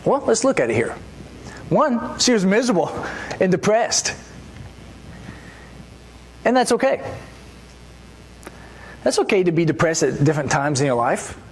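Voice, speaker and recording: A mid-pitched preacher talks through a church sermon as if chatting with friends. The delivery keeps turning to the congregation with rhetorical questions. A faint hiss sits under the voice. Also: sing-song, unhurried, pauses often, poor audio. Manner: conversational, full of rhetorical questions.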